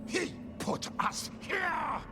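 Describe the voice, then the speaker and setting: Vengeful demon spirit, video game, very slow delivery, creaky growl, impatient, blunt, demanding. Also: male voice, very high voice, lively intonation, music in the background, full character voice. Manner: blunt, demanding outbursts in short phrases. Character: snarling, impatient, vengeful male spirit demon possessing a man. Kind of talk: dramatic video game voice acting.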